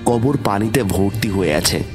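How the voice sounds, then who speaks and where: Male narrator, lowish pitch, suspenseful storytelling, scripted horror tale, light background music. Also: moderate pace.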